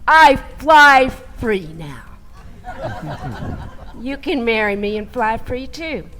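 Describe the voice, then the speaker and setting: A woman playing an excitable flight attendant who tells her training story theatrically, with deliberate delivery and loud commands. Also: fairly high pitch, low-quality recording. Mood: earnest, dramatic. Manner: dramatic, deliberate, breaking into loud barked commands. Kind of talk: theatrical first-person storytelling for a radio play.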